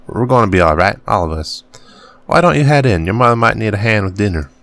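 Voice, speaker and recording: Man reading as a gruff, cursing farmer dad. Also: fairly low pitch, expressive, a bit bright, no room sound. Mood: reassuring. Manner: grumbling, cursing, drawn-out reading. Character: gruff weary middle-aged farmer father, bitter miner.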